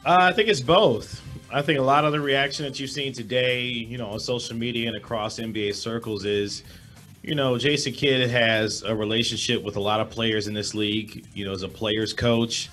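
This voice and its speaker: A middle-aged man with a hoarse voice, a sports reporter giving measured analysis as a guest over a video call. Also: mid-range voice, fairly even intonation, fairly bright tone, faint music behind. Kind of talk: remote sports talk interview. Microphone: webcam with desk microphone, video call.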